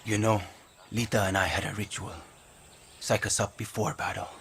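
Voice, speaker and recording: An actor playing a blunt, profane veteran guerrilla fighter coaching a recruit. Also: male voice, fairly low pitch, level tone, normal speed, vocal fry. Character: gruff veteran male rebel guerrilla. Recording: game audio with loud ambient noise.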